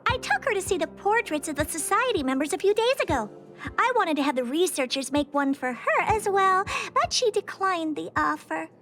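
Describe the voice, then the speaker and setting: A woman's voice: very high voice, very animated, normal speed, fairly bright tone, exaggerated voice. A woman voicing a smug young genius girl scientist in a video game, haughty and offhand. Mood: breezy, conceited. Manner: haughty, self-satisfied, offhand. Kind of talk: scripted video game voice acting. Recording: game dialogue with music behind.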